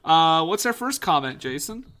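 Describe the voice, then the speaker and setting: Slightly high-voiced man, playful podcast co-host. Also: very animated, moderate pace, bright, forceful tone, a little hiss or hum. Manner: playful, irreverent, dry teasing. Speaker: podcast co-host steering the comments segment. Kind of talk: casual comedic banter.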